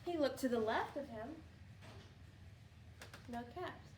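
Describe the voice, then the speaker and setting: A woman teacher reading a story aloud to young pupils, expressive and often addressing listeners with questions. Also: sits high, takes it slowly. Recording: roomy, distant home recording with noise.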